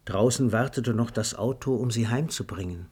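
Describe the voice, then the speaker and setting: A man narrating a literary radio drama in a measured, matter-of-fact manner. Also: medium pitch, darkish tone, slightly rough.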